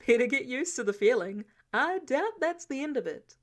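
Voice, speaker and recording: A woman's voice: sits high, expressive, moderate pace. A woman voicing a casual, wry male boss and inventor in a story reading. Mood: wryly amused. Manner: casual, teasing, glib.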